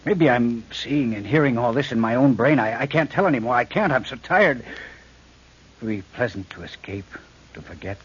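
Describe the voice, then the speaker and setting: A man playing a weary, haunted railway signalman in an old radio horror drama, anguished and halting, repeating himself. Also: mid-range voice, animated, slightly rough. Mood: weary despair. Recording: muffled, hissy old radio recording.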